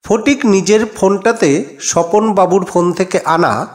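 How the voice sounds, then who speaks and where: A man's voice: high-pitched, unhurried, darkish tone. A man reading scripted narration for a detective audio story. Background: music.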